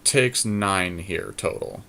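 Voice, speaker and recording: Low-voiced man talking through game moves. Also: slow pace, creaky, bright, forceful tone, slight background noise. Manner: thinking aloud, deliberating over moves. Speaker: podcast co-host playing an online card game. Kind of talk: casual gameplay commentary.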